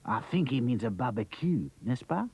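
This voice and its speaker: A man's voice: medium pitch, big pitch swings, normal speed, slightly rough, dull audio, pitched above the performer's own voice. A man voicing a fussy male toy chef with a French accent, refined in manner.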